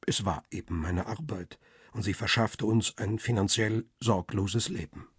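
An actor playing a middle-aged photographer and devoted husband, narrating a radio crime drama in a measured, reflective, literary way. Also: male voice, on the low side, fairly bright tone, slightly rough, no room sound, clean studio sound, heavily acted. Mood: quietly reassuring, uneasy.

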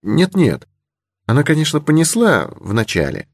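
Male narrator as a brave young lady, lifted pitch, candid, assured, adventure storybook, dry close sound. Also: sing-song, moderate pace, husky, no room sound, heavily acted. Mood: slightly flustered. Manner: candid, charmingly simple, assured. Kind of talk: audiobook character in adventure novel.

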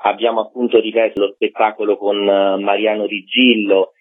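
A man with a fairly low voice talks over a narrow, tinny telephone line. He is an interview guest who explains things fluently and with promotional enthusiasm. The sound is dry, with no room around it. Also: flat delivery, moderate pace, breathy edge, dry recording. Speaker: festival general director, interview guest. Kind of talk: informative radio interview answers.

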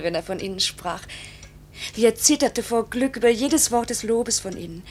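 An actress playing a pilot's anguished young wife in a 1950s German radio drama, pleading and accusing in a halting, emotional, breathy delivery. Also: female voice, mid-range voice, lightly acted. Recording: hissy 1950s radio recording, faint hum.

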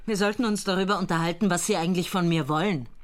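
An actress playing a calm, dry female police investigator who also narrates in the first person, speaking in a measured, firm way. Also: fairly low pitch, no echo, natural voice. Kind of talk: German radio drama acting with first-person narration.

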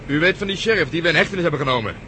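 An actor playing a brisk, level-headed male police inspector in a radio drama, talking in clipped, businesslike phrases. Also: expressive, pushed and bright. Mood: urgent, frustrated. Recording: noisy radio play recording, engine-like rumble.

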